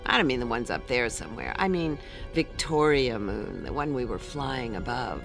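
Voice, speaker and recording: An actress playing a cool, tough sci-fi detective in an audio drama, dry and laconic. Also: female voice, extremely low pitch, lively intonation, moderate pace, over music. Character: cool, tough female sci-fi detective narrating her adventure. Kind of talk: scripted first-person audio drama narration.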